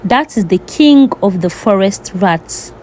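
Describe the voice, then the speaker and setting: A woman's voice: medium pitch, lively intonation, takes it slowly, darkish tone, a little hiss or hum. A woman storyteller reading a scripted folktale narration.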